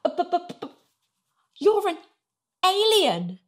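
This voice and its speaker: Woman playing an excitable, high-pitched little storybook girl. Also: unhurried, heavily acted. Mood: startled, stuttering. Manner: exclaiming, eager, earnest. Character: excitable, warm-hearted young human girl, Sarah. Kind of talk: children's storybook character voice.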